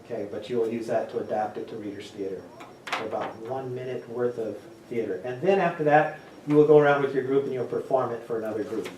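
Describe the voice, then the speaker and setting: Middle-aged male presenter addressing a classroom, picked up from afar with clear background noise. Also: mid-range voice, quick pace, darkish tone. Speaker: workshop presenter. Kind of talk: teaching talk and scripted reading to a class. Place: large classroom, indoors. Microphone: camera a few meters away. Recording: echoey room, far from mic, noisy.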